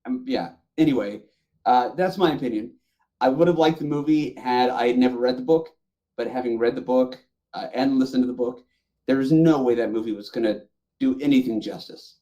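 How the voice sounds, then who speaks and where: Mellow-voiced man, opinionated podcast chat. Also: mid-range voice, fairly quick, highs cut off. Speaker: podcast co-host. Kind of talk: casual panel chat.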